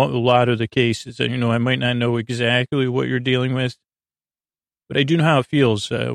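A man with a creaky voice hosting a sleep podcast in a meandering, halting, lulling monologue full of digressions. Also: mid-range voice, dry recording, clean studio sound. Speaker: podcast host.

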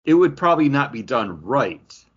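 A man's voice: medium pitch, big pitch swings, moderate pace, slightly rough, dull audio. A man hosting a casual podcast chat and moderating a panel's ranking. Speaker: podcast host.

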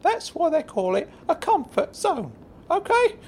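A man's voice: wildly swooping pitch, a little slow, full character voice. A man voicing a timid, grumbling older companion who fusses and protests anxiously in a comic audio story. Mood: indignant. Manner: fussy, anxious, hushing and protesting. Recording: faint rumbling background noise.

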